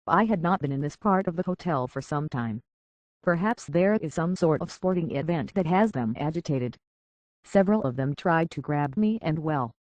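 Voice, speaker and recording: A man's voice: fairly high pitch, a little mellow, close to an everyday voice. Man acting a prim, fussy robot butler. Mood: prim, courteous. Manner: prim, formal, mannered butler diction. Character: polite, fussy, anxious male robot butler. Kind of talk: scripted video game character dialogue.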